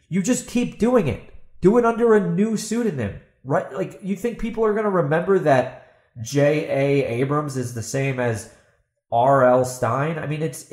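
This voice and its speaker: A man's voice: mid-range voice, darkish tone. A man giving an opinionated solo podcast monologue as host, speaking bluntly and emphatically, with some swearing. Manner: emphatic, blunt, swears, asks and answers own questions. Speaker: podcast host and fantasy author. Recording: roomy, echoey voice recording.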